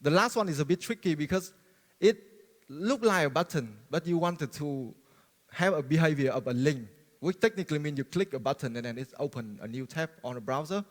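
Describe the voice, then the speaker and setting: A man from Vietnam, giving a friendly technical conference talk, halting and full of fillers and restarts. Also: on the high side, expressive. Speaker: conference speaker. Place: large conference hall. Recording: long reverb of a large hall.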